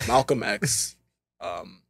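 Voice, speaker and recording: A young man, a podcast co-host, telling casual stories with animated voices for the people he quotes. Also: fairly low pitch, drawn-out words, creaky, slightly rough, no room sound. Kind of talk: casual storytelling.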